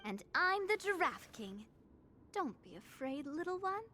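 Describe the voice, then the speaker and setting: A high, lilting giraffe king, gentle and soothing. It is storybook animal acting for a game, with a faint noise behind. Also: big pitch swings, unhurried, heavily acted. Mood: gentle, reassuring.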